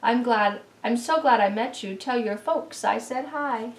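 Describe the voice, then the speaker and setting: A woman's voice: on the high side, unhurried, a little hiss or hum. A woman voicing a kindly, sentimental old lady from a storybook, speaking earnestly in rhyme. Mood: resigned, fond. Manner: gentle, earnest, rhyming speech. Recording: roomy, distant camera recording.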